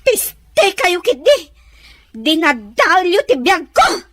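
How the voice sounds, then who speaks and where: A high, very animated voice from a woman acting as a jealous, wronged woman in an audio drama. She is angry and accusing, breaking into sharp outbursts as her pitch leaps about. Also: slow, dry recording, heavily acted. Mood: bitter. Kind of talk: dramatized Ilocano audio story.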